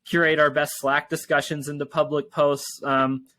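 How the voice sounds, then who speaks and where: Male interview guest, casual and rambling, bright voice sitting a bit high, dry sound. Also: flat delivery, moderate pace, dry recording. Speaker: tech company founder and interview guest.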